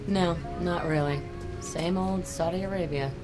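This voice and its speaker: A confident woman photojournalist, played by an actress with a notably low voice. She is cool and dryly flirtatious, with a sardonic edge. It sounds like game cutscene dialogue, with clear music underneath. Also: fairly even intonation, a little slow, natural voice. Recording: music behind the voice.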